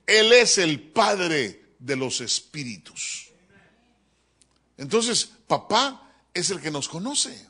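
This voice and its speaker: A man preaching a sermon as a pastor, animated and joking, often addressing his listeners as brother. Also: fairly high pitch. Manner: animated, joking, keeps calling listeners "hermano". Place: church.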